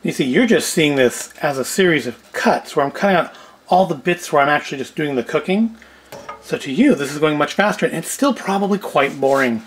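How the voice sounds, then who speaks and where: A man's voice: a little high, expressive, a little fast, a little hiss or hum. A middle-aged man giving casual cooking and food review commentary with dry, self-deprecating humour. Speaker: video host. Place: indoors at home, kitchen and tabletop set.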